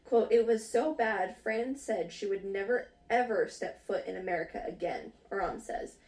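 Brief: woman host, giggly, casual, mid-pitched, reads stories aloud. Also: normal speed, darkish tone, recorded from a distance, rough recording. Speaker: podcast host. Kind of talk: casual chat, reading out stories.

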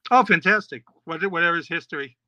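Middle-aged man, guest podcaster; bright, slightly high voice, chatty and rambling, dry close mic. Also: quick pace, no room sound, muffled recording. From New York. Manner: chatty, rambling, many fillers and restarts. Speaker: podcast host and children's author. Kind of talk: casual interview answers.

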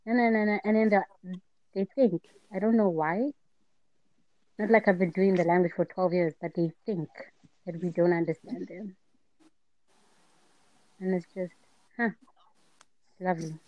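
A woman's voice: lowish voice, steady tone, unhurried, pauses often, no room sound. Dry-humoured young woman. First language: Afrikaans. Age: young adult. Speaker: podcast co-host. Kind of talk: casual chat. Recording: dull muffled dry mic.

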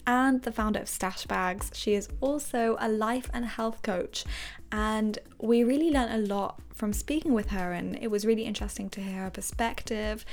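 A woman's voice: medium pitch, a little mellow. A woman hosting a podcast in a conversational interview style. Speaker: podcast host.

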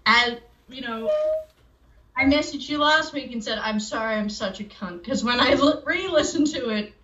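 A woman's voice: fairly high pitch, normal speed, sharp tone, reverberant, highs cut off. A woman co-hosting a podcast from home, chatting in a laid-back, blunt, self-deprecating way. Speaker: podcast co-host. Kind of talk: casual chat.

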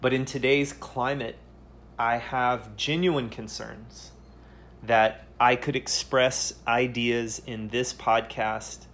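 A man hosting a solo podcast, speaking earnestly and haltingly, often pausing to search for words. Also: medium pitch, a bit bright, a little hiss or hum. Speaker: podcast host.

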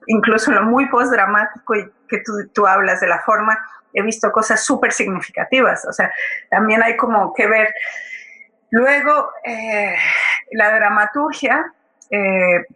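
Bright-voiced woman answering questions thoughtfully. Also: medium pitch, poor audio. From Colombia. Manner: reflective, hesitant, often seeking agreement. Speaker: guest playwright. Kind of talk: conversational interview answers.

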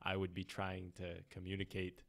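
Young man, podcast host, easygoing, teasing, casual chat, lowish voice. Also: monotone, normal speed, a bit bright. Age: young adult.

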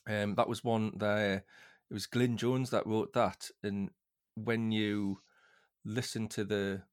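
A man's voice: fairly low pitch, little pitch movement, slow pace, clean tone. A man chatting casually as a fan on a podcast, rambling a little hesitantly.

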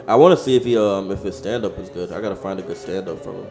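A man's voice: deep voice, fast, gravelly, a little mellow. A man in his thirties, co-hosting a podcast in casual, slangy chat and loose storytelling. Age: 30s. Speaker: podcast co-host. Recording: roomy with music behind.

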